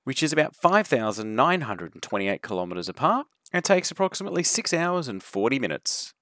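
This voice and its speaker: A man's voice: medium pitch, big pitch swings, fairly quick, noisy background. A man narrating his travel vlog in a chatty, wry voice-over. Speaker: travel vlogger. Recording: music bed under the voice.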